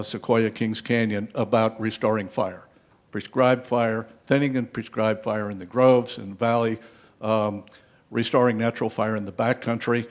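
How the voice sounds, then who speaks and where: An older man speaks at a conference panel through a handheld microphone. His delivery is a wry, lecturing one, full of historical asides and filled pauses. His pitch sits in a middle range for a man. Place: conference stage, seated. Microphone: handheld mic.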